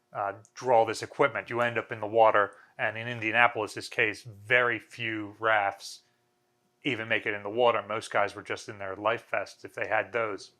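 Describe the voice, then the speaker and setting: An American man in his thirties hosts an informal explainer. His voice sits at a middle pitch with a bright, forceful edge. He talks casually to the camera, with a wry, dry sense of humour. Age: 30s. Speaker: museum video host. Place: small bare indoor room.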